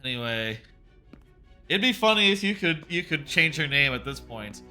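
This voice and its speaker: Slightly high-voiced man commentating on a game. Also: big pitch swings, moderate pace, bright, forceful tone. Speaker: game streamer. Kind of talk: casual playthrough commentary. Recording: game music behind voice.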